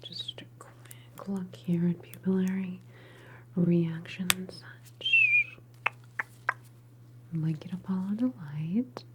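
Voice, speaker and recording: Woman acting as unhinged superpowered female experimenter, breathy low whisper, casually clinical, faintly menacing, sci-fi ASMR roleplay, very noisy close recording. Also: natural voice. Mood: clinical, focused. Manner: unhurried, murmured, offhand and clinical.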